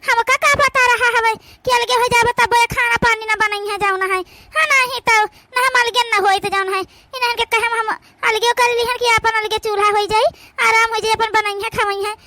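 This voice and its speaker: Woman voicing a high-pitched, weary old mother-in-law. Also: fairly even intonation, normal speed, bright, forceful tone, slight background noise, heavily acted. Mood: complaining. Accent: Awadhi. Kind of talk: amateur cartoon voice acting.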